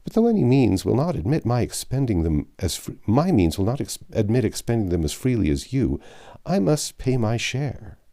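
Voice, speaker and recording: A man acts out a storybook detective who is stiffly courteous and quietly resentful. He speaks formally and haltingly, in a mellow voice with lively swings of pitch. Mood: strained politeness. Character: stiffly polite detective hiding resentment of his host. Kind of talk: storybook reading.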